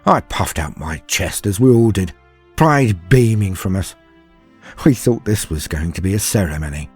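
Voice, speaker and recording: A man playing a frightened, haunted far-future soldier, telling his horror story in a dramatic first-person monologue with brooding pauses and shifts from hushed to emphatic. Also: sits low, lively intonation, slightly rough, soft background music, heavily acted. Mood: grim recollection. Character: frightened, haunted far-future soldier, former factory worker, recalling terrors.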